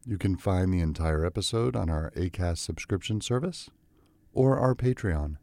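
A man's voice: low-pitched, expressive, normal speed, a little mellow, no room sound. A man presenting and narrating a classic story as a dramatic literary reading.

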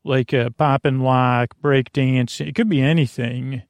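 A man with a gravelly voice, hosting a bedtime podcast in a meandering, halting monologue full of self-interruptions and tangents. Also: medium pitch, fairly even intonation, no echo. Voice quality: creaky, gravelly. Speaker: podcast host.

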